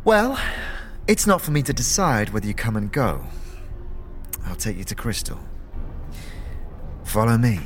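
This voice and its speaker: A man's voice: medium pitch, big pitch swings, measured pace, pauses often. A man voicing a roguish, taunting female gang scout in a fantasy audio drama, teasing and theatrical. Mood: amused, curt. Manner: teasing, theatrical, mock-courteous.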